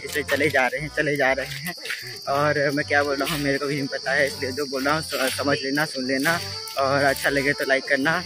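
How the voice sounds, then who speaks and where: A man's voice: a little high, poor audio. A young man from Jashpur, Chhattisgarh, with a local accent, vlogging casually and joking as he walks a forest path, filming on a handheld phone. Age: young adult. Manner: rambling, joking, talks while walking. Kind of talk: casual walking vlog. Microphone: phone camera held at arm's length.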